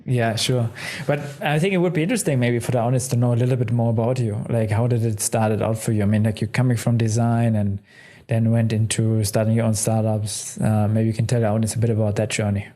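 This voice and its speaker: Mellow friendly man hosting. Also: lowish voice, fairly even intonation, brisk. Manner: friendly, hesitant, slightly nonnative phrasing. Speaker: podcast host. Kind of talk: interview hosting.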